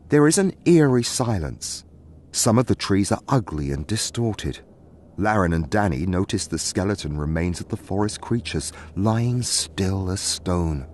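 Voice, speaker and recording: A man's voice: low-pitched, very animated, normal speed, a little mellow, slight background noise. A man narrating a scripted fantasy story as its storyteller.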